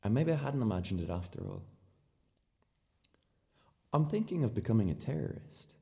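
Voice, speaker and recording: A male actor as an awkward, muddled man delivering a radio play monologue in a very low voice. He confesses earnestly, haltingly correcting himself, inside a long reverberant space. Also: big pitch swings, gravelly, mellow. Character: rambling, confused, socially awkward man confessing odd thoughts.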